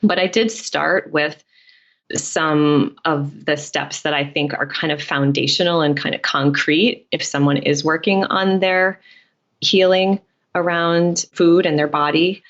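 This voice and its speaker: Low-voiced woman, slightly muffled audio. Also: steady tone, unhurried. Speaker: podcast guest, dietitian and author. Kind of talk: conversational interview answers.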